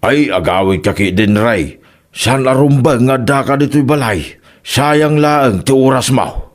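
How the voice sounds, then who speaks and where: Man playing slow-talking, exasperated Ilocano father. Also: mid-range voice, close to an everyday voice. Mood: dismissive. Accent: Filipino, Ilocano. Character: exasperated old Ilocano father, blunt, disapproving. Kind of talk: Ilocano radio comedy drama acting.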